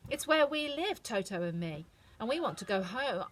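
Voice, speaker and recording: A woman's voice: fairly high pitch, very animated, moderate pace, voice pushed up, low-quality recording. A woman voicing a sweet, earnest young farm girl who speaks in a pleading, homesick way. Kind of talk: storybook reading.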